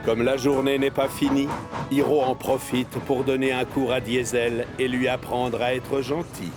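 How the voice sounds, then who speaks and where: A man narrating a children's cartoon in a measured, expressive storybook manner. His voice sits at an ordinary middle pitch. Clear cartoon music plays underneath him.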